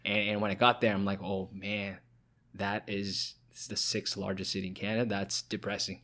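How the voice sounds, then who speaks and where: A man's voice: fairly low pitch, flat delivery, normal speed, pushed and bright. A young man from China, a podcast guest and founder, chatting casually with many fillers and restarts. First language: Chinese. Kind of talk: casual interview chat.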